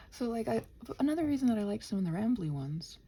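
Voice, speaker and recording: A woman talks in a soft, creaky voice with a mellow tone, over faint but noticeable background noise. She rambles casually to her listeners while she works, muttering, trailing off and poking fun at herself. Her words often sink to a near-whisper. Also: on the high side, sing-song, fairly quick. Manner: muttering, self-deprecating, trailing off and thinking aloud. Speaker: audio roleplay and ASMR creator.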